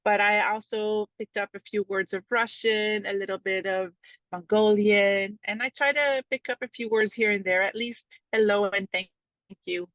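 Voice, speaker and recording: A woman's voice: mid-range voice, steady tone, moderate pace, a bit bright, no echo. Native French-speaking woman, dry muffled sound. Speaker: interview guest, touring show staff member. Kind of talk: casual interview.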